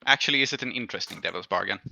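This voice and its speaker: A man with a very bright, keen voice runs a tabletop game, explaining rulings conversationally. His pitch moves animatedly. The recording is dry and slightly dull. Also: lively intonation, very fast, gravelly, no echo. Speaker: game master. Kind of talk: narrating and adjudicating a tabletop game, casual.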